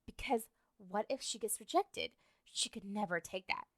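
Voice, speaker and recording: A woman's voice: medium pitch, moderate pace, fairly bright tone, no echo, clean studio sound, lightly acted. A woman playing a lovestruck, obsessive young woman in an ASMR roleplay, talking to the listener in a coy, rambling, hesitant way. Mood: lovesick, exasperated.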